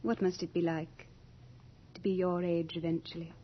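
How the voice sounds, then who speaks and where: An actress playing a young, beautiful female Death in a radio horror drama, theatrical and deliberate, capricious and longing to be mortal. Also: fairly low pitch, little pitch movement, natural voice. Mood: solemn. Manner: theatrical, deliberate, breathy at moments. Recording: dull old radio drama with music.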